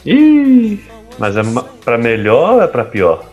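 A man plays an evasive young playboy with troubles, in an audio drama. His mid-pitched voice swings widely, and music plays clearly behind it. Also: huge pitch swings, takes it slowly, darkish tone, muffled recording. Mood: evasive, affectionate. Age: young adult. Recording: music under the voice.

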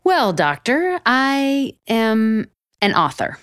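A woman's voice: fairly high pitch, slow pace, clean tone, dry recording, studio quality, exaggerated voice. An actress playing a sarcastic, easily exasperated woman author in a romantic comedy audio drama. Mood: wry, evasive. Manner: sarcastic, excitable.

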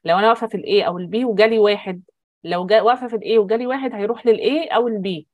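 Woman, Egyptian accent, online teaching assistant, thinks aloud while explaining, brisk, dry sound. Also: mid-range voice, no room sound, narrow, tinny audio. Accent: Egyptian Arabic. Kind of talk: online lecture.

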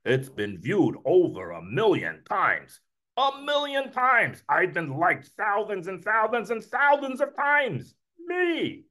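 Guy doing a excitable brooklyn uncle from a kids book, starstruck, kinda high voice that jumps all over. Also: slow, heavily acted. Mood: amazed, proud. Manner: excitable, repetitive, incredulous. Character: excitable, starstruck Uncle Murray, an ordinary adult man. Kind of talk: children's book read-aloud character.

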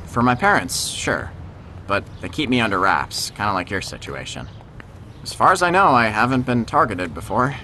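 A man's voice: on the low side, big pitch swings, normal speed, sharp tone, a little hiss or hum. A man playing an easygoing, protective teenage boy in an audio drama, casual and conversational. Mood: casual, reassuring. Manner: casual, hesitant, reassuring. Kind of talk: naturalistic audio drama acting.

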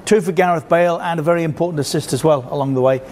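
A man's voice: a little high, racing, a little mellow, slight background noise. A middle-aged man presenting football in a TV studio, delivering links with dry, wry remarks. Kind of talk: sports broadcast presenting.

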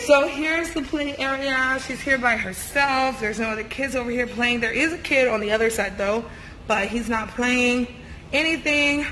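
A woman's voice: on the high side, normal speed, bright, forceful tone. A young woman vlogging as she walks through a buffet restaurant, talking in a chatty, rambling way with many fillers, holding the camera at arm's length. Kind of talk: casual vlog walkthrough. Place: busy indoor buffet restaurant. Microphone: handheld camera at arm's length. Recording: noisy restaurant ambience.

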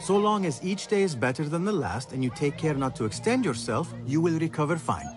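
A man's voice: a little high, expressive, brisk, over music, lightly acted. A man playing a confident, eager young street thief in a video game cutscene. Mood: friendly, caring. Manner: confident, persistent.

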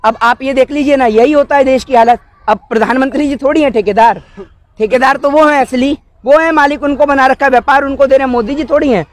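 A man's voice: very high-pitched, fast talker. A man, arguing indignantly in rhetorical questions during an outdoor street interview. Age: 20s. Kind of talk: impassioned street interview on politics. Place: outdoors by a wall. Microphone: cluster of handheld news microphones held close.

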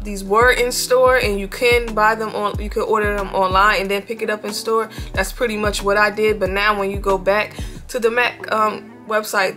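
A young woman beauty vlogger with a cold, talking casually to viewers from her bedroom. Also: mid-range voice. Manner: relaxed, chatty, addresses viewers as y'all. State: has a cold, sniffly. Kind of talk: casual makeup demo. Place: bedroom, indoors, seated before a headboard.

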